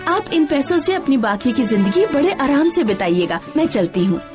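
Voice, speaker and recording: A woman playing a grateful, generous, gently insistent married woman in a cartoon moral story. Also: sits high, sing-song, moderate pace. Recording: music behind the voice.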